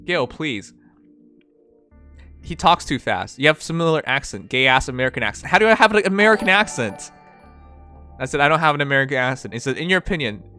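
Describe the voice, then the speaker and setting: A man's voice: on the high side, sing-song, a little fast, long pauses, sharp tone, soft background music. A man from Canada with a slight Chinese accent, who speaks English as a second language, hosting a livestream and reading chat messages aloud in a rambling, blunt, profane way. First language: not English. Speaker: livestream host.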